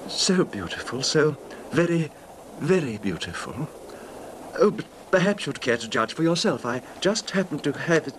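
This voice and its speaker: A man's voice: big pitch swings, normal speed. An actor playing a nervous, apologetic, well-off little man in a radio drama, speaking tentatively and deferentially. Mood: confiding. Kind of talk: old-time radio horror drama acting. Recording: noisy radio recording, train rumble.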